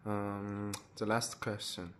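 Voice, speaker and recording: A man interviewing a friend, asking questions hesitantly with pauses. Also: on the low side, flat delivery. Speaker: student interviewer.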